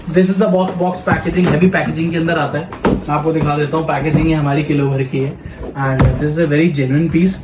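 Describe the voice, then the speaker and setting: An Indian man giving a casual product demo as a toy shop presenter, explaining step by step and mixing Hindi with English. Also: fairly high pitch. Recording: echoey room, background noise and clicking.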